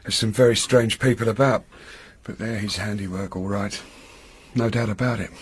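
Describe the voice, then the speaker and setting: A man's voice: on the low side, fairly even intonation, moderate pace, slight background noise, lightly acted. An actor playing an eager young male police detective who talks in an earnest, chatty way. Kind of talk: radio drama acting.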